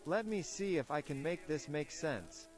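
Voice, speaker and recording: An actor playing a probing, clinical interviewer running an experiment in a scripted analog horror piece. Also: male voice, on the high side, lively intonation, normal speed, close to an everyday voice. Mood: clinical, insistent. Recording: faint music under the voice. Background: music.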